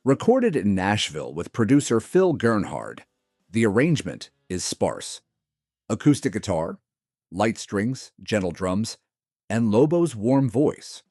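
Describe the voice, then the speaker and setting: A man narrates a scripted countdown in a fairly low voice. His intonation swings widely and expressively. The sound is close and dry, with no room around it. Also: big pitch swings, measured pace, darkish tone, dry recording, faint music behind. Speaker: narrator of a music countdown video.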